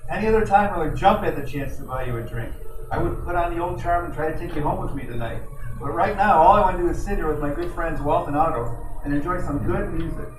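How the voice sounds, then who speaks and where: A man's voice: fairly high pitch, fast, natural voice. A man playing a wry, unflappable, hard-drinking private detective in an old-time radio drama. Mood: relaxed. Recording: echoey noisy room, distant mic.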